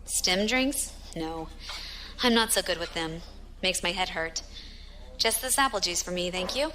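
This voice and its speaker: A woman's voice: fairly low pitch, measured pace, sharp tone, a little hiss or hum, rough recording. A woman playing a tough, dryly humorous space pirate who talks informally in a science fiction audio drama. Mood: relaxed, teasing. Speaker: voice actor.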